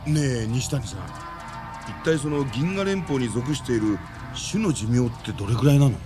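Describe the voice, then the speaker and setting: An actor playing a curious, reasoning man with a husky voice in a Japanese radio drama. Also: medium pitch, expressive, moderate pace, over music, lightly acted. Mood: curious, surprised.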